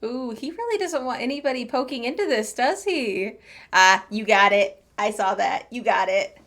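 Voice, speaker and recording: A woman's voice: a little high, normal speed, a bit bright. A woman giving casual, playful, quippy commentary while playing through a game. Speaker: game streamer.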